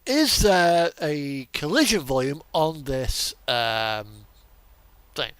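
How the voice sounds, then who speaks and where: A man's voice: a little high, lively intonation, slow pace, a bit bright, smooth, dry recording. A man giving casual, rambling game commentary, thinking aloud and trailing off as he plays. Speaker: streamer playing a truck simulator.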